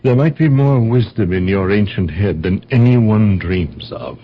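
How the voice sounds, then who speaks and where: A man playing an anguished, bewildered lover in a radio drama, halting and impassioned, with a husky voice. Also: lowish voice, lively intonation, a little hiss or hum. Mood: troubled. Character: anguished, bewildered lovestruck man, well-born. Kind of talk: 1970s radio drama acting. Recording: dull old radio recording.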